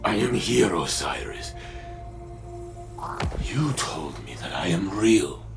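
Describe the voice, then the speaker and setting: An actor playing a grave, troubled robotic warrior in a video game, gravelly, with a halting, weighty, dramatic delivery. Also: male voice, low-pitched, sing-song, soft background music, exaggerated voice. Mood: tender. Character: grave, troubled male robotic warrior searching his lost past.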